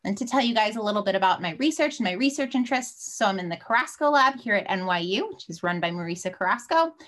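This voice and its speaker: A young woman with a mid-pitched voice introduces herself informally to students. She is a teaching assistant and sounds chatty and upbeat. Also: lively intonation, fast talker, fairly bright tone. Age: young adult. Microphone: video call.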